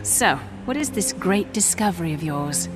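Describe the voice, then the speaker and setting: A woman's voice: fairly low pitch, expressive, normal speed, music in the background, close to an everyday voice. Composed woman as a female game hero. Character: composed, gently teasing female adventurer hero. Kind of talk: scripted video game dialogue.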